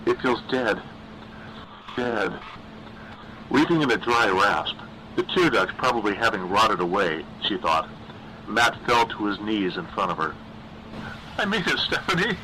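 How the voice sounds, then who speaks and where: Male horror audiobook narrator: slightly low, sharp-edged, dramatic scripted delivery. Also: slight background noise, poor audio.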